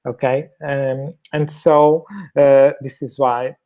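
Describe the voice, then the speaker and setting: A man's voice: medium pitch, flat delivery, takes it slowly, mellow. A man with a Portuguese accent giving a webinar presentation over a video call, hesitant, with many fillers and restarts. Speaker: fertility clinic doctor, webinar speaker.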